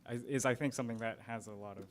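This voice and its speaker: A young man moderating a panel, asking questions in a hesitant, searching way. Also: racing. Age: young adult. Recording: rumbly background noise.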